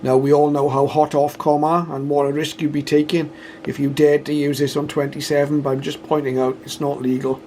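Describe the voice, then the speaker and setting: A man's voice: fairly high pitch, little pitch movement, brisk, a little mellow, slight background noise. A British man giving an informal, matter-of-fact product demonstration, explaining methodically step by step. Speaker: radio reviewer and demonstrator.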